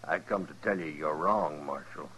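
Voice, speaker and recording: An actor playing a hard, vengeful cattle rancher, blunt and demanding, with a rural American West accent in a 1950s radio western. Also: male voice, a bass voice, normal speed, sharp tone, a little hiss or hum. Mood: accusing. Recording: dull old radio recording.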